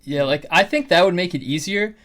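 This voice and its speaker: A man's voice: high-pitched, a little fast, fairly bright tone. A young man chatting earnestly on a podcast, often restarting his sentences as he talks. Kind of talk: casual podcast conversation.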